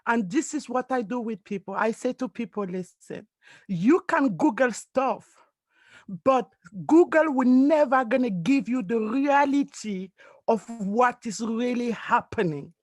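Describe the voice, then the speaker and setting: A woman's voice: a little high, expressive, a little slow, no echo. Woman from Cameroon, network marketing leader, emphatic motivational talk, dry close call audio. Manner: earnest, emphatic, non-native phrasing. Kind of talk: motivational speech on a video call. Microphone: video call.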